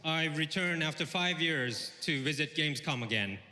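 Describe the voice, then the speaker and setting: A man's voice: fairly high pitch, expressive, normal speed, pushed and bright. A man working as an interpreter, translating live on stage a passage at a time. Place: large convention stage. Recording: reverberant convention hall.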